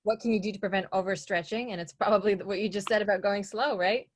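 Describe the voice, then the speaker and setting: A woman at a middling pitch hosts a live online workshop over a video call. She sounds upbeat as she introduces guests and reads out comments from viewers. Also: fairly even intonation, quick pace, a little hiss or hum. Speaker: host.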